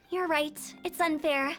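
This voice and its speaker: Very high female voice, eccentric young funeral director, cheerfully pushy, theatrical, playful, video game acting, background music. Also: fairly even intonation, slow, fairly bright tone. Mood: musing.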